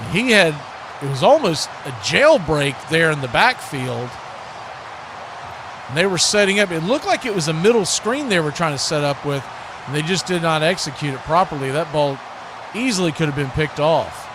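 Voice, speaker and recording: Male play-by-play announcer, high-pitched, short punchy football calls, noisy live broadcast. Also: expressive, moderate pace. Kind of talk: live radio football commentary.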